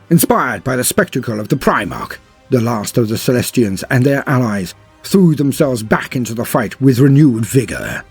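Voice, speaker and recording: A man's voice: mid-range voice, darkish tone, breathy edge. A man narrating a scripted tale in a grave, theatrical manner, drawing out words for emphasis. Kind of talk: dramatic scripted lore narration.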